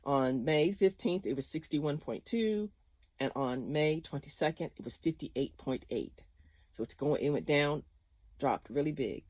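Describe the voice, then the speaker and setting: A woman's voice: low voice, expressive, unhurried, a little mellow, dry recording. A woman in her fifties talking casually to her viewers, chatty and rambling, often laughing. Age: 50s. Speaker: vlogger.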